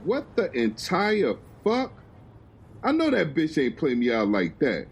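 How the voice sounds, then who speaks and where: A man doing a cocky, smooth-talking charmer. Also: mid-range voice, wildly swooping pitch, normal speed, a little hiss or hum. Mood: shocked.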